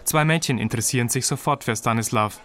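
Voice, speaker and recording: A man reads a radio reportage script in an even, descriptive voice. His delivery is measured and clear. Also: medium pitch. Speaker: reporter narrating.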